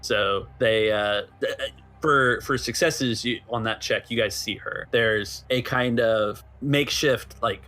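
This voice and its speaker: A man narrating a tabletop roleplaying game as game master, setting scenes in a halting, conversational way. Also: mid-range voice, sharp tone.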